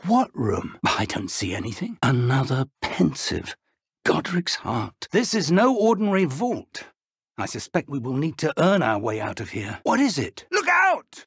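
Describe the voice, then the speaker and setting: Expressive elderly British wizard professor, husky. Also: male voice, a little high, no echo, clean studio sound, exaggerated voice. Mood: grave, wondering, then urgent. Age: older. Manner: measured, formal, articulate. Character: elderly wizard professor, earnest, kindly mentor. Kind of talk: video game character dialogue.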